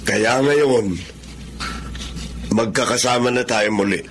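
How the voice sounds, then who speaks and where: Tender, repentant father, mid-pitched. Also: slow, a bit bright. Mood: concerned. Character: repentant father freed from prison, tender with family. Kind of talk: Tagalog radio drama acting. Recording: faint background noise.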